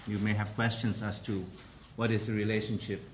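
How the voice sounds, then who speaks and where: A man giving a formal press briefing as president of a council, speaking carefully with many pauses and restarts. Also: fairly low pitch, flat delivery, a little hiss or hum.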